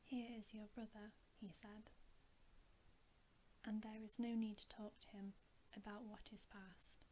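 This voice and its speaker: A woman whispering a bedtime story in a hushed, breathy, gentle and unhurried ASMR style. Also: mid-range voice, flat delivery, a little hiss or hum. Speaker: ASMR reader.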